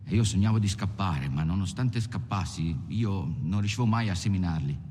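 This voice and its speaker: An actor playing an ageing, haunted prisoner in a hesitant, introspective film monologue. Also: male voice, animated, moderate pace, warm tone. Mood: resigned, brooding. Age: older. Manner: hesitant, introspective, plain-spoken. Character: ageing male prisoner, defeated, haunted, resigned. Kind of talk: dramatised confessional film monologue. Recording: long reverb, faint rumbling noise.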